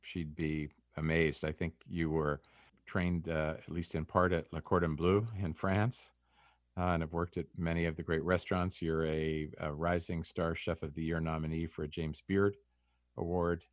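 A man interviewing a guest, asking measured questions and searching for his words. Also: extremely low pitch, flat delivery.

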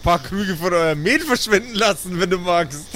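A man's voice: sits high, expressive, moderate pace, fairly bright tone, slight background noise. A man improvising casual in-character dialogue in a role-play podcast, slangy and somewhat exasperated.

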